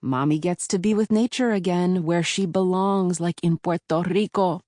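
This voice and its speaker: A woman's voice: fairly low pitch, moderate pace, mellow, no echo, clean studio sound, close to an everyday voice. A woman voicing a tired, wistful mother.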